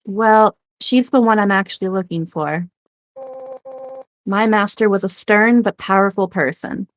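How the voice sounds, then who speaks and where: A woman's voice: mid-range voice, a little slow, no echo, close to an everyday voice. A woman playing a young rejected witch, wistful yet teasing, confiding in a conversational way in a game script performance. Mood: light, confiding. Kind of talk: fan-performed game script, dramatic dialogue.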